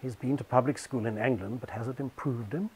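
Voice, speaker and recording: Man reading as a hushed, disdainful matronly Englishwoman. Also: medium pitch, fairly quick, slight background noise, lightly acted. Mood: confiding disdain. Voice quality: hushed, slightly husky. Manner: airy, snobbish asides. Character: matronly, disdainful English colonial woman passenger.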